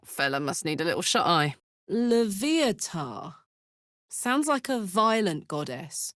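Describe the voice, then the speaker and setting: A woman's voice: on the low side, fairly bright tone, no room sound. A woman voicing a brash, fiery horned warrior woman in a video game, talking bluntly and informally like a soldier, recorded dry. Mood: curious, casual. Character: brash, fiery female devil-horned warrior with an infernal engine heart. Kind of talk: video game character voice lines.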